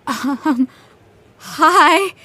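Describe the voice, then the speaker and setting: A woman's voice: high voice, animated, drawn-out words, sharp tone, heavily acted. An actress playing a starstruck, anxious teenage girl in an audio drama, stammering and hesitant. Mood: nervous, flustered. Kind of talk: naturalistic audio drama dialogue.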